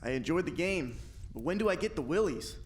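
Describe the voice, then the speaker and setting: A man's voice: a little high, expressive, a little fast, a little hiss or hum, lightly acted. A man playing a fearless, naive young lad in a storybook radio play, unfazed and wisecracking. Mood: cheerful. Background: music.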